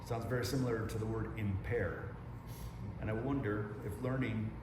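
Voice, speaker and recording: A man giving a slow, reflective sermon in a conversational tone with casual swearing. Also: lowish voice, fairly even intonation. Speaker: pastor. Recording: roomy, distant mic with room noise.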